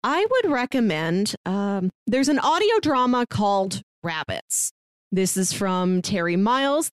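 A woman co-hosting a podcast over a remote call, chatting casually and excitedly, with lots of "like" as she talks. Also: on the low side, dry recording. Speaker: podcast co-host. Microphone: remote call mic.

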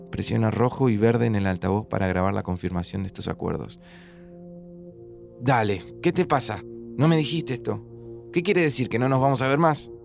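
A man's voice: on the low side, vocal fry. An actor playing a worried, skeptical young man from Buenos Aires in an audio drama, with an informal, sardonic way of talking. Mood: hurt, anxious. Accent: Argentine, Buenos Aires. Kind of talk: naturalistic audio fiction acting.

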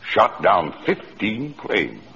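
A man playing a senior angel who narrates gravely as a storyteller in 1940s radio drama. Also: lowish voice, wildly swooping pitch, slow pace, a bit bright, full character voice. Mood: solemn. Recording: muffled old radio broadcast.

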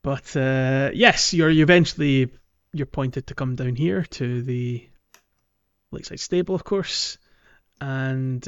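A man's voice: medium pitch, clear, resonant tone, dry recording, lo-fi sound. A man co-hosting a podcast, chatting casually about a game in a hesitant, meandering way. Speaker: podcast host. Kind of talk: casual game playthrough chat.

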